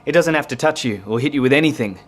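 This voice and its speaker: A man's voice: mid-range voice, fast, close to an everyday voice. An Australian-accented man playing a courteous, grave navy commander in an audio drama, polite and plain-spoken.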